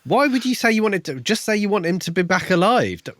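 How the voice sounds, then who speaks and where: A man's voice: high-pitched, sing-song, quick pace, fairly bright tone, dry recording, studio quality. A man co-hosting a podcast, talking through a book casually in a reflective, conversational, slightly rambling way. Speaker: podcast co-host.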